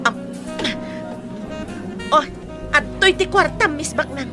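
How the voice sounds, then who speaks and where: An actress playing a feisty, defiant, sharp-tongued young woman in an Ilocano radio drama, sarcastic and combative. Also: high voice, lively intonation, slow pace. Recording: loud music bed behind voice.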